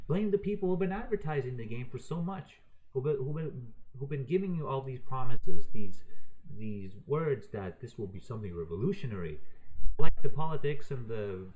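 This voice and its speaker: A man rants about his gripes, heated and exasperated and swearing freely. His pitch climbs and dips a lot as he pushes each point. He speaks off the cuff, straight to his viewers. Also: animated, moderate pace, mellow, low-quality recording. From raised in Croatia. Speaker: video ranter. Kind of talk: unscripted opinion rant.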